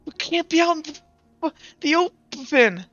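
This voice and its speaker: A game master voicing a panicked young man, high and urgent. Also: lively intonation, measured pace, fairly bright tone, dry recording, lo-fi sound. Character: frightened young man urgently shout-whispering to his friends.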